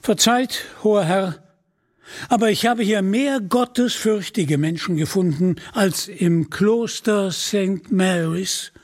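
Man reading as humble monk, high and slow. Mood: respectful, honest. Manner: polite, earnest. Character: humble, honest monk among outlaws.

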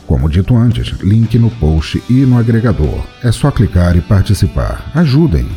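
A man hosting an audio drama podcast and narrating a horror story in an expressive, dramatic reading style. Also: lowish voice, normal speed, soft background music.

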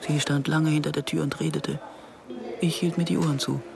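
A woman's voice: deep voice, flat delivery, brisk, gravelly, crowd noise. An actress playing an anxious, introspective woman who narrates a radio drama in the first person. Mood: haunted, reflective. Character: anxious, introspective Israeli woman recalling her past. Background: music.

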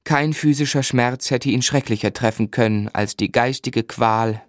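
An actor in a German radio drama playing an earnest gentleman who narrates his own story in measured, literary phrases. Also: medium pitch, dry recording, clean studio sound, natural voice. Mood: grave, observant.

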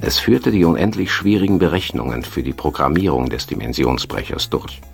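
A man's voice: very deep voice, animated, a little fast, vocal fry, soft background music, exaggerated voice. A man narrating a science-fiction radio play with grave, dramatic scripted delivery.

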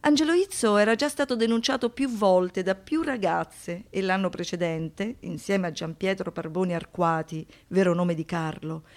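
Radio theatre narrator: actress playing female storyteller, medium pitch, deliberate phrasing, dryly ironic. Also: natural voice. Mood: grave. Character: woman narrator recalling seventies youth and crime.

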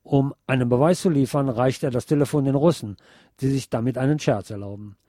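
A man hosting a radio programme, reading scripted narration in a measured, deliberate way. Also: medium pitch, dark tone, no room sound, studio quality. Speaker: radio show host.

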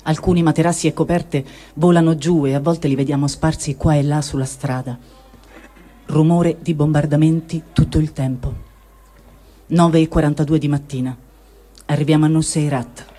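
Male reader, mellow tone, slightly raised pitch, calm diary narration. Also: moderate pace, soft background music. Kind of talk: reading a first-person diary aloud.

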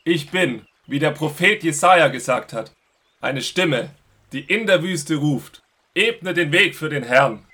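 An actor playing a fervent desert prophet in a biblical radio drama, speaking in a raised, proclaiming way. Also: male voice, fairly high pitch, lively intonation, measured pace, sharp tone, exaggerated voice. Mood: insistent.

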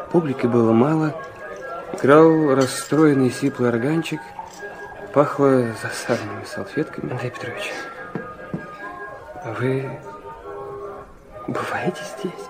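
A man's voice: mid-range voice, lightly acted, poor audio. An actor playing a hot-tempered, tormented young student who acts out and narrates his confessions in a literary radio play. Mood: subdued, hesitant. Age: young adult. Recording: studio radio drama with music behind.

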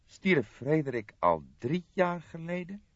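A man's voice: fairly high pitch, sing-song, unhurried, dry recording, pitched above the performer's own voice. A man voicing a nervous, distraught man under questioning in an audiobook. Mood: shocked. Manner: shocked, sobbing, lisping outbursts. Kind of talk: audiobook character voice. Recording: dull lo-fi audiobook recording.

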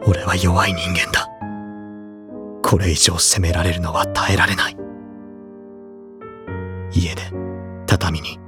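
A reserved, tormented high school boy in an anime audio drama. His low voice is grave and brooding, set against prominent music. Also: level tone, takes it slowly, pauses often. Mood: anguished, brooding.

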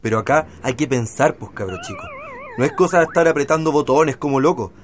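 A man's voice: mid-range voice, fairly quick, a little hiss or hum, natural voice. A man playing a laid-back, slightly bossy Chilean university student in an educational radio drama, talking in slang. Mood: bossy, teasing.

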